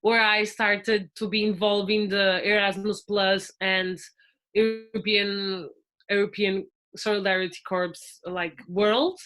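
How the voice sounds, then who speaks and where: A woman's voice: mid-range voice, level tone, slow, a bit bright. A Portuguese woman in her twenties with a Portuguese accent, a guest chatting casually on a podcast with frequent fillers and restarts. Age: 20s.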